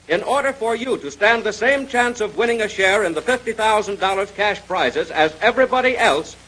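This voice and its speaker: A male announcer in the manner of 1930s radio. His voice sits high for a man and has a husky grain. He reads promotional copy and story introductions in a formal scripted style. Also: fairly bright tone, poor audio.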